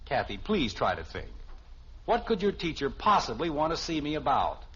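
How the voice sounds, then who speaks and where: A man's voice: fairly high pitch, huge pitch swings, moderate pace, fairly bright tone, slightly rough, heavily acted. An actor playing an exasperated middle-aged suburban father with an American accent, flustered and wry, in 1950s radio sitcom style. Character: exasperated middle-class suburban father, easily put upon. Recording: dull, hissy old radio recording.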